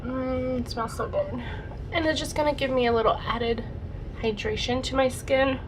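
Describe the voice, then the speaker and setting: A young woman vlogger chatting casually through a makeup routine, her voice a bit raspy and her nose congested from a cold. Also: high voice, moderate pace, noisy background. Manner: chatty, rambling, lots of "like" and "super". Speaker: beauty vlogger. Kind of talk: casual makeup tutorial chat.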